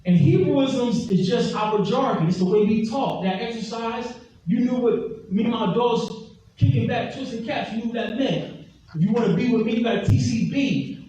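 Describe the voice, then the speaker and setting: A man with a high but mellow voice preaches an emphatic teaching sermon, repeating his scripture references for the congregation. He is heard from a distance in a very echoey hall, with faint noise underneath.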